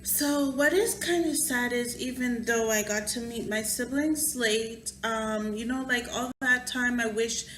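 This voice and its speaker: A young woman telling a personal story into her camera, slow and unhurried. Her voice is bright and fairly high, and she laughs often and acts out what people said. The room around her is echoey, with a faint hum behind. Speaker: vlogger. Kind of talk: storytime vlog.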